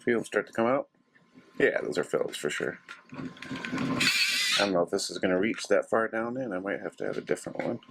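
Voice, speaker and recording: Low, gravelly man talking through a repair. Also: a little fast, pauses often. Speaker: host of a DIY repair vlog. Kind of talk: casual hands-on tutorial talk, thinking aloud. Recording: steady machine noise in background, 3D printer. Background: 3D printer running.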